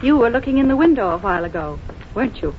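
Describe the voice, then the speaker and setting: An actress in a 1940s radio drama playing a brooding, suspicious young granddaughter with a solemn, intense manner. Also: female voice, on the high side, very animated, quick pace, slight background noise. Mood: cold, suspicious. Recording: muffled vintage radio recording, loud music.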